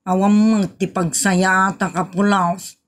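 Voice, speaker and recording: A woman's voice: medium pitch, little pitch movement, slow, lightly acted. A woman with an Ilocano Filipino accent acting a nagging, exasperated rural wife and mother in a comedy audio drama, complaining in exclamations. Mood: reproachful.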